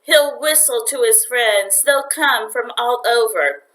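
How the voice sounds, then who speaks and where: A woman's voice: on the high side, takes it slowly, a bit bright, pitched above the performer's own voice, rough recording. A woman reading a storybook, voicing a stern, dismissive mother with a dry, scolding manner.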